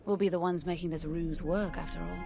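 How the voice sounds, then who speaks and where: An actress playing a refined, earnest rebel starfighter pilot in a video game, speaking politely and articulately. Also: female voice, lowish voice, steady tone, a little fast. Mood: earnest, admiring. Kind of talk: video game character dialogue. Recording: clear music behind the voice.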